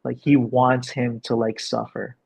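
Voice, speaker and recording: A man working as a director, explaining and reading out a script, with a gravelly, husky voice. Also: medium pitch, little pitch movement, measured pace, darkish tone. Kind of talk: explanatory coaching and script narration. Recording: dull, lo-fi sound.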